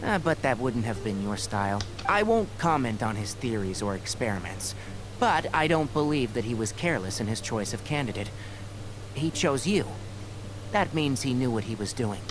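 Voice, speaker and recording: An actor playing a sensible, composed young scholar in a video game, articulate and dryly teasing. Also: male voice, medium pitch, expressive, normal speed, noisy background, close to an everyday voice. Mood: reassuring. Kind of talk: English game voice acting.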